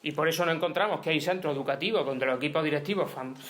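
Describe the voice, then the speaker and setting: A man's voice: a little high, racing, slight background noise. A middle-aged man from Spain speaking at a conference in a hall, emphatic and argumentative, often ending points with tag questions. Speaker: union speaker. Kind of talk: conference talk.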